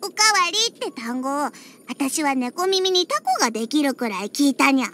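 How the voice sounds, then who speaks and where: A woman's voice: high voice, big pitch swings, moderate pace, fairly bright tone, slight background noise. A woman voicing a feisty little catgirl in an anime game, with a childlike, cutesy voice and a huffy, pouty manner. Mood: huffy, exasperated. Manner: pouty, huffy, with cat-like verbal tics. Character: feisty little catgirl bartender who hates alcohol. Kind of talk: Japanese anime game character acting. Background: background music.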